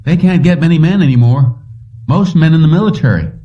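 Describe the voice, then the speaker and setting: A man's voice: a little high, animated, a little fast, voice pushed up, close to an everyday voice. A man voicing an easygoing young waiter with casual, folksy small-town talk in an audiobook. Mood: casual, helpful. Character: easygoing young male lunch counter waiter. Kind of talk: audiobook character dialogue. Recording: dull lo-fi, distant from mic.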